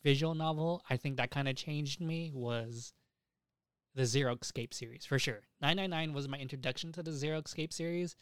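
Young male podcast host, smooth clear voice, close dry sound, emphatic, sweary casual chat. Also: on the high side, brisk, dry recording. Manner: profane, emphatic, repeats himself.

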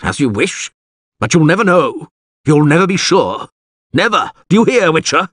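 A man voicing an excitable, indignant old wizard in a fantasy audiobook, with a breathy, strained delivery. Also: medium pitch, slow pace, no echo, clean studio sound, pitched above the performer's own voice, heavily acted. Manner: agitated, emphatic.

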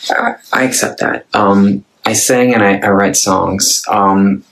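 A man in his thirties with a Norwegian accent speaks at home in a low, gravelly voice. He talks very slowly and thoughtfully, pausing often and restarting his sentences. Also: fairly even intonation, fairly bright tone, poor audio. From Norway. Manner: hesitant and thoughtful, many restarts. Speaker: singer-songwriter. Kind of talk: casual chat to camera.

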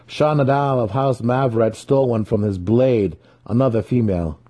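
A man voicing a boastful young male dark elf warrior, exclaiming emphatically in a fantasy story. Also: mid-range voice, normal speed, slightly rough, voice pushed up, natural voice. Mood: eager.

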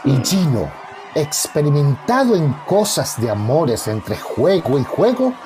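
A man's voice: fairly high pitch, very animated, slow pace, over music. A man narrating a radio soap opera in a dramatic, florid, suspenseful scripted style.

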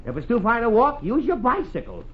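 Middle-aged American father, 1940s radio comedy. Blustery penny-pincher, very animated pitch, dull vintage sound. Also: fairly quick, full character voice. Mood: indignant. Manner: blustery, emphatic scolding. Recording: muffled, noisy 1940s radio recording.

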